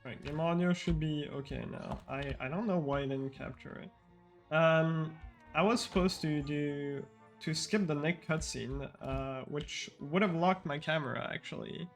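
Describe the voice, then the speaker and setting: Casual: guy talking thru his speedrun live, kinda higher voice, loose and rambly. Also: a little slow, faint music behind. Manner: casual, explanatory, frequent fillers and restarts.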